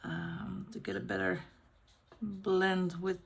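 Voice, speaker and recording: A middle-aged woman from the Netherlands with a Dutch accent, chatting casually in English as the host of a colouring channel, pausing often to find her words. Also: medium pitch, little pitch movement, creaky, husky. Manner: unscripted, pauses to find words. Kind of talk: casual chat while colouring.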